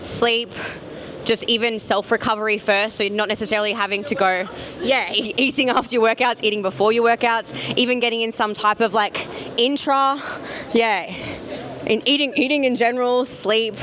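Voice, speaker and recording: A woman's voice: on the high side, steady tone, normal speed, a bit bright. A woman guest talking casually about training during a gym vlog. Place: indoor gym.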